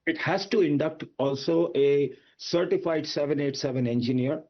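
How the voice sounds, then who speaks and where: A man's voice: a little high, moderate pace, highs cut off. A man with an Indian accent giving emphatic, argumentative expert analysis on a news debate. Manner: emphatic, argumentative, with rhetorical questions. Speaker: aviation expert panelist.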